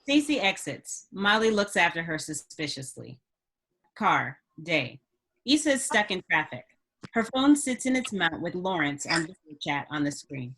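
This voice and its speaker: A woman's voice: lowish voice, sing-song, unhurried, a bit bright, husky, poor audio. A woman reading screenplay stage directions as a scripted narrator.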